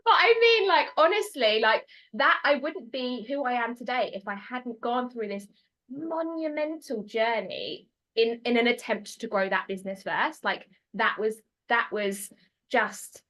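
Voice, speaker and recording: A British woman in her thirties, a guest on a podcast. She talks in a bubbly, quick way, filling her speech with likes and ums. Her voice sits a little high. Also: lively intonation, a bit bright. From United Kingdom. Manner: bubbly, quick, with frequent fillers and laughs. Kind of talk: casual podcast interview.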